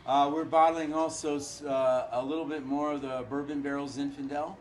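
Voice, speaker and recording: A hoarse middle-aged man, a winemaker, talking casually and haltingly with many filler uhs. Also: fairly high pitch, little pitch movement, a little hiss or hum. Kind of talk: casual chat.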